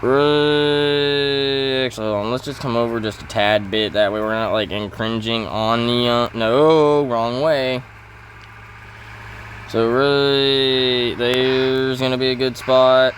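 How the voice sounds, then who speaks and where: A man giving casual commentary over farming gameplay, halting and often correcting himself as he thinks aloud. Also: medium pitch, little pitch movement, fairly bright tone, a little hiss or hum. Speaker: gaming video host.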